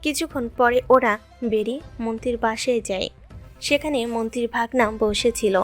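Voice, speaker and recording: A woman's voice: on the high side, a little fast. A woman reading scripted narration for an audio story. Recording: background music throughout.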